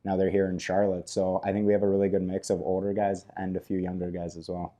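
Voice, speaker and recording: Brief: low, mellow male coach, Russian first language, steady even delivery. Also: quick pace, lo-fi sound. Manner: relaxed, many fillers like "you know". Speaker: head coach. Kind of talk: casual sports interview. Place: coach's office at an ice rink.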